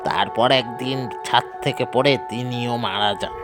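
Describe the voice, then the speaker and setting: An actor playing a grave local man, recounting a haunted house's history in a cautionary, deliberate way for an audio horror story. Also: mid-range voice, steady tone, over loud music, natural voice. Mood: grave, warning.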